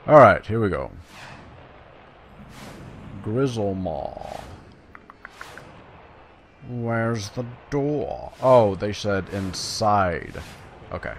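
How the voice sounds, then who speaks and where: A man's voice: lowish voice, slight background noise. A man giving casual, playful gameplay commentary in a chatty, slangy way, sometimes reading quest text aloud. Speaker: video game player and commentator.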